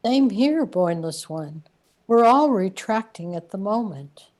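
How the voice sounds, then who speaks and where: A woman acting in a radio play as a calm companion, reassuring and measured. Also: medium pitch, natural voice. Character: calm female companion gently reminding and guiding.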